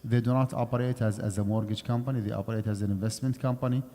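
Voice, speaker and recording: A man's voice: lowish voice, fairly even intonation, quick pace, mellow. A man giving a conversational lecture on Islamic finance in a mosque, explaining step by step and checking in with his listeners. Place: mosque prayer hall. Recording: long hall reverb.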